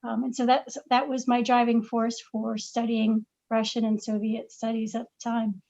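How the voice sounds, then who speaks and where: Middle-aged woman guest, halting casual answers, dull recording. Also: fairly high pitch, flat delivery, dark tone. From Massachusetts. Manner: hesitant, many fillers and restarts. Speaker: podcast guest, parent.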